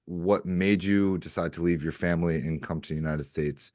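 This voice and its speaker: Male podcast host with a very deep voice and dry, close sound; upbeat and encouraging. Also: fairly even intonation, normal speed, a little mellow, no room sound. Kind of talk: podcast hosting.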